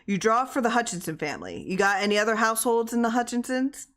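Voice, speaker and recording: A woman voicing a brisk, formal small-town official in a story reading, giving orderly, matter-of-fact directions. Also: a little high, big pitch swings, fairly bright tone, voice pushed up.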